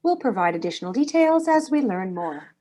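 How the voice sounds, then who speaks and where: A woman's voice: medium pitch, sing-song, fairly quick, warm tone. A woman playing a serious television news anchor in a drama table read, reading in a formal style. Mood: grave, formal.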